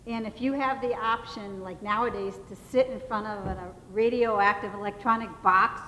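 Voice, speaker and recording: A woman's voice: medium pitch, fairly even intonation, moderate pace, pushed and bright. A woman speaking earnestly as a farmer on a panel. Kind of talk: panel discussion answer. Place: large hall, panel event. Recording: echoey hall with faint noise.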